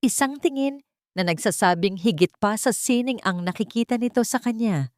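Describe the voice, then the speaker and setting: A woman reading a scripted dramatic story in a deliberate, melodramatic storytelling manner. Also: fairly high pitch, no echo, studio quality. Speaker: storyteller, narrator.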